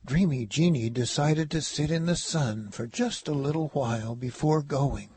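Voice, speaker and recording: A man's voice: a little high, normal speed, darkish tone, breathy edge. A man narrating a children's story as a read-aloud. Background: music.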